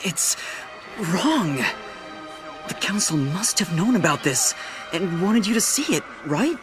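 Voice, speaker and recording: Low-pitched, earnest, anxious young summoner. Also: female voice, very animated, takes it slowly, over music. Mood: solemn, troubled. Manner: hesitant, solemn, polite. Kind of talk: fantasy audio drama acting.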